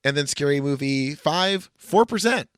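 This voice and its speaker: A man's voice: fairly high pitch, expressive, measured pace, a bit bright, clear, resonant tone, no echo, clean studio sound. A man co-hosting a podcast, talking casually as he reviews and ranks films. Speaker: podcast co-host. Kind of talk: casual film review chat.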